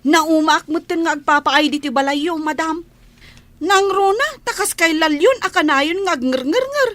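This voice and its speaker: A woman's voice: extremely high pitch, animated, a little slow, fairly bright tone, no echo, heavily acted. A woman playing an earnest, plain-spoken young housemaid in a Filipino radio drama. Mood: fed up, defiant. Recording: music bed under the dialogue.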